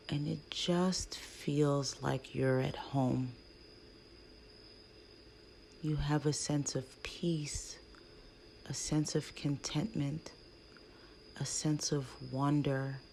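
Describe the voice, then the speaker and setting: A woman leading a guided meditation in a hushed, soothing, breathy voice with long pauses between phrases. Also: low-pitched. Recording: faint background noise.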